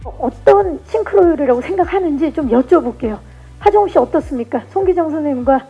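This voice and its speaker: A woman's voice: high-pitched, expressive, fast talker, phone-quality sound, soft background music. A woman hosting a press conference in a playful, bantering way. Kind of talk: lively event hosting. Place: cinema press event, on microphone.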